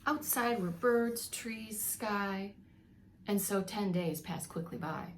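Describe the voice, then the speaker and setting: A woman with a mid-pitched voice reads a children's picture book aloud as a preschool teacher would. She is expressive and stops to explain things and ask little questions. There is clear noise and room ambience behind her. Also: slow pace.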